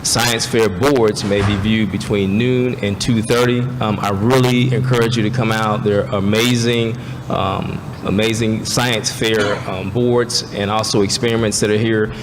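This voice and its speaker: A man's voice: on the low side, fairly even intonation, measured pace, few pauses. A man, a school district administrator, giving upbeat announcements with frequent fillers at a school board meeting. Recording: noisy, reverberant meeting hall.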